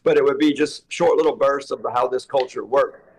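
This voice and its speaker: Slightly hoarse man chatting casually. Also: mid-range voice, steady tone, normal speed, a little mellow, poor audio. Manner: rambling, thinks aloud. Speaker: co-host. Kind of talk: casual chat.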